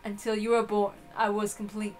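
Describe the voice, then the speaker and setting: A woman's voice: medium pitch, completely flat delivery, normal speed, a little mellow, pitched below the performer's own voice, lightly acted. A woman voicing a haughty elder-sister witch with an arrogant, formal way of speaking in a visual novel reading. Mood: formal, self-assured. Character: haughty, self-proclaimed elder-sister golden witch, female.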